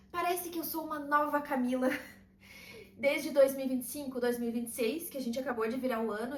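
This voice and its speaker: A woman, calmly talking to camera in a casual vlog and laughing lightly now and then. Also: high voice, roomy sound. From southern Brazil. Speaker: vlogger and routine mentor.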